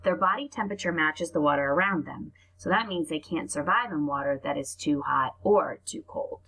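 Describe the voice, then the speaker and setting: Husky woman reading aloud to children. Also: low-pitched, normal speed, a bit bright, rough recording. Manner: explanatory, addressing young children. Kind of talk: children's read-aloud with asides.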